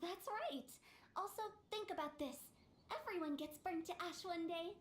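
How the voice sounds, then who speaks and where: A woman's voice: very high voice, expressive, moderate pace, reverberant, a little hiss or hum. A woman playing a cheeky, theatrical young female funeral parlor director in a video game, speaking like a playful storyteller. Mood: breezy, teasing.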